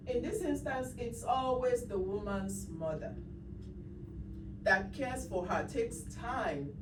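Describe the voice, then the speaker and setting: A woman's voice: medium pitch, far from the mic. A woman giving a conference breakout talk, speaking conversationally with restarts as she thinks aloud. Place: conference room. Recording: roomy sound from off-mic with clear background noise.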